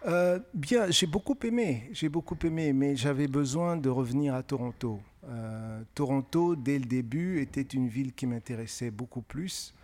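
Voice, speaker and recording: A middle-aged man who grew up in francophone Africa, answering interview questions in a measured, thoughtful way with frequent pauses. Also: medium pitch, a little mellow. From francophone West and Central Africa. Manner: measured, thoughtful, pausing often with hesitations. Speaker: guest author.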